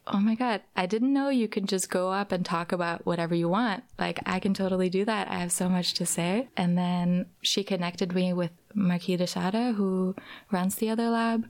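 Soft-spoken young woman, slightly low. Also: creaky. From Norway. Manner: soft-spoken, thoughtful, with pauses and fillers. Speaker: guest performer. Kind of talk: casual interview.

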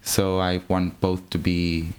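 A man speaks casually as an interview guest, his voice sitting fairly low. He talks in an animated way, laughs easily and often restarts his sentences. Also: slow, clear, resonant tone. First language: Hebrew. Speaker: drag performer guest.

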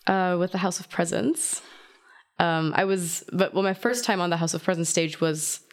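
Relaxed interview guest from Germany. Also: female voice, fairly low pitch, normal speed. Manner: relaxed, thoughtful, laughs lightly. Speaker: guest, drag performer. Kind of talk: interview answers.

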